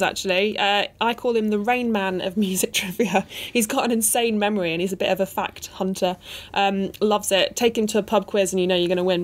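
Playful woman co-hosting a podcast. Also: mid-range voice. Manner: playful, teasing, laughs easily. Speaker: podcast co-host, blogger. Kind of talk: casual podcast chat.

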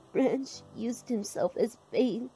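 Woman as a protective, mellow-voiced female pony. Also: a little high, low-quality recording. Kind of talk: animated fan drama acting.

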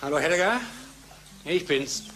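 An actor in a German radio play playing a troubled, nagging husband, agitated and worn down by office life. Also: male voice, on the high side, unhurried, background noise. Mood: tired.

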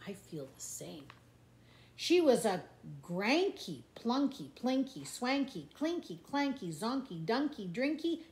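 A middle-aged woman reading a children's picture book aloud in an expressive, playful, sing-song way, with asides about the pictures. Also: mid-range voice. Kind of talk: animated children's storybook read-aloud with asides. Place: indoors in a room at home. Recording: echoey room.

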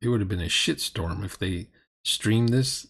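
A man's voice: on the low side, moderate pace, vocal fry, fairly bright tone, husky. A middle-aged man giving blunt, sarcastic, exasperated commentary in reaction to a roleplaying game. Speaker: critic reacting to a tabletop roleplaying video.

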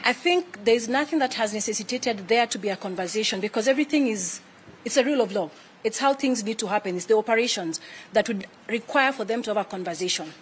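Fast-talking Kenyan woman, formal and measured. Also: on the high side, animated, slight background noise. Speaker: spokesperson for a retired president's office. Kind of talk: press conference answers. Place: indoor room with flags, press briefing.

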